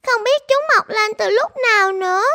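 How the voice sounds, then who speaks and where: Woman doing a squeaky bright little cartoon girl, polite and eager, dry close mic, soft music under. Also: normal speed, no echo, heavily acted. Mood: puzzled. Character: cheerful 12-year-old girl, sporty and hopeful. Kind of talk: animated cartoon dubbing.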